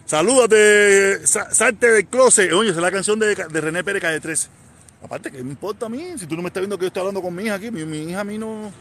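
A man's voice: sits high, fast talker, a bit bright, a little hiss or hum. A man in his forties from Havana, speaking with a Cuban accent, chatting casually and rambling on a live stream, repeating himself and answering viewer comments. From Cuba. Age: 40s.

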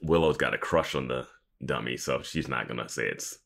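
A man's voice: extremely low pitch, normal speed, creaky, fairly bright tone. A man co-hosting a podcast in casual, joking chat, sounding amused and mock-incredulous. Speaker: podcast co-host.

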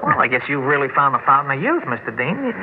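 A man's voice: mid-range voice, very animated, quick pace, natural voice. An actor playing a wide-eyed, deferential young man with a folksy, amazed manner in a classic radio drama. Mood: admiring, deferential. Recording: noisy, narrow-band old radio recording.

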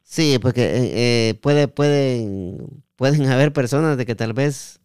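A man's voice: medium pitch, measured pace, a little mellow, clean tone, dry recording, clean studio sound. A man with a Guatemalan accent hosting a casual interview, hesitating and repeating words as he forms his questions. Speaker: podcast host interviewing a guest.

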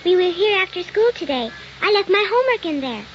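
A woman's voice: extremely high pitch, big pitch swings, fairly quick. A woman playing a sweet, pleading little schoolgirl in an old-time radio drama. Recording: muffled old radio recording with hiss.

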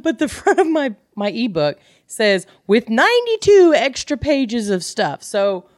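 A woman's voice: a little high, big pitch swings, a little slow, no echo, clean studio sound. An American woman co-hosting a podcast, chatting casually about books in a blunt, joking, irreverent way. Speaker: podcast co-host. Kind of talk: casual book club chat.

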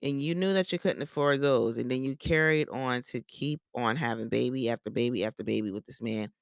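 Gravelly-voiced blunt gossip podcast host. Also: male voice, medium pitch, brisk, dry recording, lo-fi sound. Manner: blunt, opinionated, swears freely; rambling with frequent restarts. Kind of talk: casual gossip commentary.

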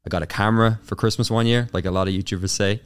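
A young man with an Irish accent, a guest on an interview show, answering in a casual, rapid way full of fillers and restarts. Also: lowish voice, fairly even intonation, smooth. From Ireland. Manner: fast, filler-heavy with many restarts. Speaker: YouTube consultant, guest.

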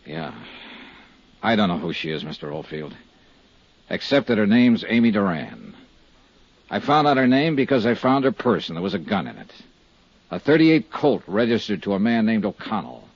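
A muffled, dry 1950s radio recording of a world-weary American investigator. His voice is low and husky, and he talks in clipped, candid, hard-boiled phrases. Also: sing-song, normal speed, pauses often, no room sound, full character voice. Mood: matter-of-fact. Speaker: lead actor and narrator of a detective serial. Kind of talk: 1950s radio detective drama acting.

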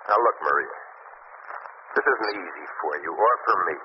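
An actor playing a desperate husband who hides a dark secret, talking urgently and turning frantic, in 1940s radio acting. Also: male voice, deep voice, animated, moderate pace. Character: desperate middle-aged husband hiding a terrible secret. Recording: noisy, muffled old radio recording.

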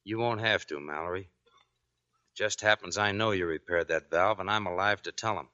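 An actor playing a bitter, defensive sailor with a clipped, stern way of talking, in 1940s radio drama style. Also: male voice, low-pitched, a little fast, bright, forceful tone. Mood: bitter, restrained. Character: curt, bitter, defensive sailor under suspicion. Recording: dull 1940s radio recording.

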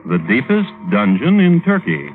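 An actor playing a droll, macabre true-crime host, narrating formally and wryly in 1950s radio style. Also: male voice, medium pitch, heavy background noise. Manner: wry, deadpan, formal with deliberate hesitations. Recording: tinny old radio recording.

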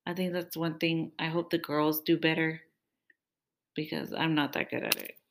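A woman's voice: sits low, fairly even intonation, normal speed, vocal fry, background noise. A young woman in casual chat with her partner on a podcast, talking freely, laughing often and complaining playfully. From Mexican-American family. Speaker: podcast co-host.

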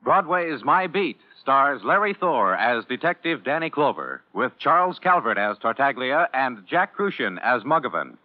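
A man working as a radio announcer, reading scripted credits in old-time broadcast style. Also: mid-range voice. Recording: tinny vintage radio recording.

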